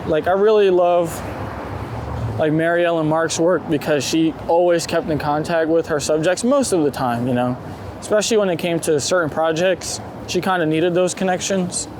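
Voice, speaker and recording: A man's voice: fairly high pitch, fairly even intonation, moderate pace. A man talking casually and informally, with some swearing, as a street photographer being interviewed outdoors while walking. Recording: loud outdoor city street noise. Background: traffic and urban street noise.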